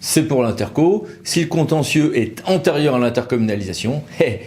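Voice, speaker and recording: A man with a mid-pitched voice gives a conversational legal explainer. He speaks as an expert to viewers, informally, dropping wry colloquial asides into technical explanation. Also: animated, moderate pace, darkish tone. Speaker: legal expert from a law firm presenting a video.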